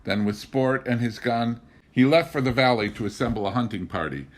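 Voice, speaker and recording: A man reading a memoir novel aloud in an expressive, scripted storytelling style. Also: medium pitch, a little fast. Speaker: reader of an audiobook.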